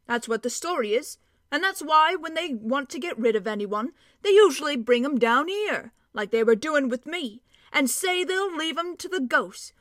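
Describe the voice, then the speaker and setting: A woman voicing a cheerful, stocky male dwarf with a hearty, emphatic, drawn-out way of talking. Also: high voice, lively intonation, fairly bright tone, no echo, studio quality, pitched above the performer's own voice.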